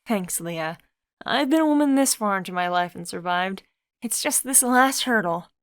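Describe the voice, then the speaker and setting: A woman's voice: mid-range voice, expressive, normal speed, clean tone, no room sound, close to an everyday voice. A woman voicing a shy, self-conscious young adult who is a boy inside, speaking hesitantly and timidly. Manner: hesitant and timid, soft sheepish replies.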